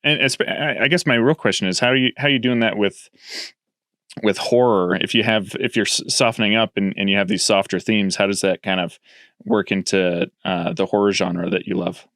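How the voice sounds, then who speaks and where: Man, late 30s, lowish voice, dry close sound, host asking long winding questions. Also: steady tone, brisk, a bit bright, no echo. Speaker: podcast host. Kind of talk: casual podcast interview.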